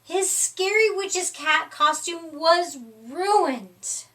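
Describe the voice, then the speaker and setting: A woman reading a children's picture book aloud as expressive storytime narration, recorded a little way from the microphone. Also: very high voice, drawn-out words, distant mic. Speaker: storytime reader.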